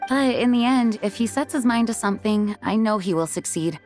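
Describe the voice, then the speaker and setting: A woman's voice: mid-range voice, animated, fairly quick, darkish tone, soft background music, natural voice. A woman voicing an earnest, dutiful young woman leader in an anime game, sincere and polite.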